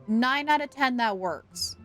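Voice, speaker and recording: A woman's voice: high voice, animated, a little slow, a bit bright, soft background music. A young woman livestreaming a video game, chatting casually in a playful, giggly, exclamatory way. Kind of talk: casual gaming stream commentary and chat.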